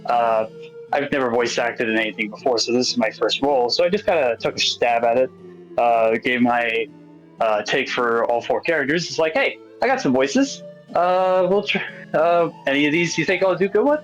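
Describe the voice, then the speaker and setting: A man's voice: medium pitch, lively intonation, moderate pace, lo-fi sound, soft background music. A man being interviewed as a new voice actor and artist, chatting in an easygoing, slightly hesitant way. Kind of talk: casual chat, recounting how they got involved.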